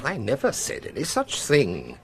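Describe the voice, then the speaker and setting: A man's voice: medium pitch, huge pitch swings, a little slow. A man acting a suave, unruffled senior police commissioner in a radio drama, urbane and drily apologetic. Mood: unruffled, sly. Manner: urbane, mock-apologetic, elliptical.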